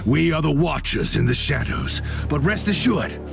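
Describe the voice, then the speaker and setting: A man's voice: fairly high pitch, very animated, normal speed, vocal fry, over music, exaggerated voice. A man voicing a grim, zealous, battle-hardened space marine sergeant in a video game, with a sardonic, grandiose delivery.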